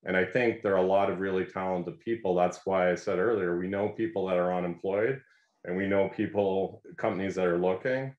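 A middle-aged man speaking as a panelist on a video call, earnest and halting, with many fillers and repeated words. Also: low-pitched, fairly even intonation. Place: home office. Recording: roomy, dull video-call audio.

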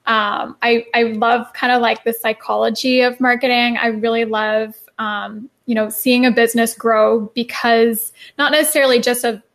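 A woman's voice: a little high, fairly bright tone. A young woman, a marketing consultant, answering interview questions in a chatty way with lots of fillers.

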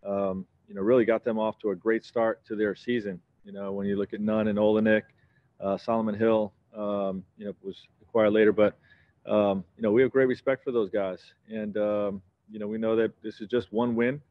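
A man in his forties, a head coach giving measured postgame answers at a press conference, full of "you know" and "um" fillers. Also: lowish voice, little pitch movement, dull audio. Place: press conference backdrop, indoors.

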